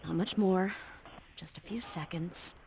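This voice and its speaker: A woman's voice: on the low side, fairly even intonation, a little slow, mellow. A woman playing a determined female agent infiltrating enemy systems in a scripted video game. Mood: tense, musing. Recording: soundboard clips with light noise, clicks.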